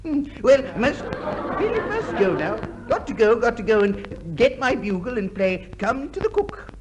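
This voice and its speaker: A man's voice: very high voice, big pitch swings, moderate pace, crowd noise. A man playing a vague, pompous army officer who mutters and repeats himself in a 1950s radio comedy. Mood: blustering. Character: doddering, blustering male army commanding officer, vague and pompous. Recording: noisy vintage 1950s radio recording.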